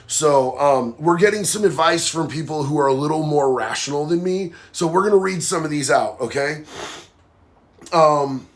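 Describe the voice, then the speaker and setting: A man's voice: a little high, a bit bright. A man hosting a live stream, nasal from a sinus infection, talking bluntly and heatedly while reading viewer comments. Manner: blunt, heated, says bro a lot. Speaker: livestream host.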